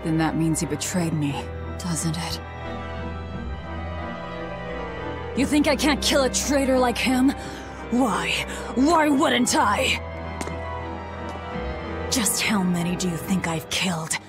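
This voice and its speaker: Bitter young female killer, raw, slow, volatile pitch, game drama. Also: sing-song, music in the background. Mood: grim. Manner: raw, intense, deliberate. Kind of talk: dramatic game cutscene acting.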